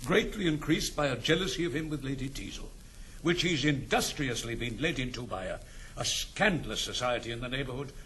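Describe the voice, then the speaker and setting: A male actor plays a faithful steward of period comedy. He speaks at a middle pitch with very lively intonation, earnest and respectful. The old album recording has slight noise. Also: fairly quick, natural voice. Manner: deferential, earnest. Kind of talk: period stage comedy acting. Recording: noisy 1960s studio album.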